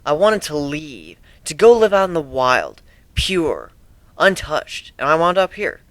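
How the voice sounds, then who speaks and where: A man acts out a kind, wistful griffin outsider in a bright, fairly high voice. He sounds conversational and reflective, a little hesitant, with expressive pitch. It is a dramatic reading of a cartoon fan story. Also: unhurried, dry recording. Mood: wistful, calm.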